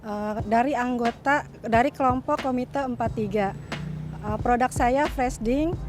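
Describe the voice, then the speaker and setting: A woman's voice: fairly high pitch, a little slow, background noise. A woman from Jakarta who sells fresh drinks, speaking plainly in an interview. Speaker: drink seller. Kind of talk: interview to camera.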